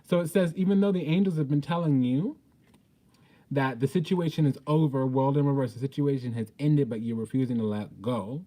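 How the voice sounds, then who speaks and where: A man's voice: medium pitch, fairly quick, warm tone, low-quality recording. A man giving an informal spiritual tarot reading in a blunt, sassy, profane way with emphatic, theatrical delivery. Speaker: tarot reader, host.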